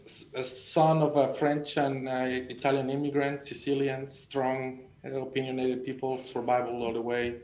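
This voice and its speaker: A middle-aged man from Mexico with a Mexican accent, speaking earnestly and haltingly as he tells personal stories as a panel guest. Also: little pitch movement, a little mellow. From Sonora, Mexico. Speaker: panel guest, agricultural extension educator. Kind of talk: conversational personal storytelling. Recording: echoey room, faint noise and rumble.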